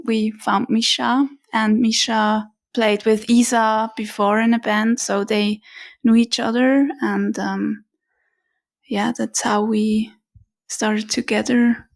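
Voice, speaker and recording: A woman with a Swiss German accent, a band's guitarist and singer, talking in a relaxed interview. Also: fairly high pitch. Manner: relaxed, says "yeah" a lot. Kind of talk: casual interview.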